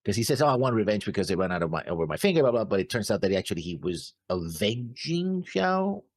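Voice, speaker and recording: A man with a very deep voice and a lively, swooping pitch chats about an anime episode. He speaks haltingly, often stopping and trailing off as he works through his notes. The sound is dry and close. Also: no echo. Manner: hesitant, halting, trails off at the ends of phrases. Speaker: reaction show co-host. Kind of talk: casual discussion of an anime episode.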